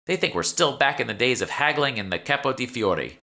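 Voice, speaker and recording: A man plays an eager young woman who keeps a magic shop in a fantasy audiobook. The voice is lifted high and swoops with enthusiasm. It is bright, polite and full of sales patter. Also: expressive, a little fast, vocal fry. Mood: chatty, eager. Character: chatty, eager young female magic shopkeeper. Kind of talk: audiobook character dialogue.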